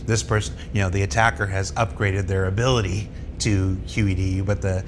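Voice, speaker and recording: Male interview host, lowish voice, casual conversational delivery, clear rumble in the background. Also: little pitch movement, a little fast. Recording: clear low rumble behind voice.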